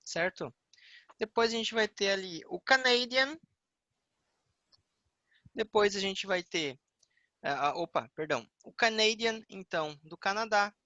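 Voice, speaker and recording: A male English teacher with a Brazilian Portuguese accent, explaining a lesson patiently and repeating words slowly. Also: high voice, sharp tone, dry recording, highs cut off.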